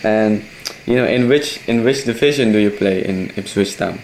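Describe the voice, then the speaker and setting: A man's voice: on the low side, moderate pace, a little mellow, slight background noise, rough recording. A man, a native Indonesian speaker, hosting an interview in English, hesitant and full of "okay" and "yeah" fillers. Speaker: interviewer, host. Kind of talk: casual interview.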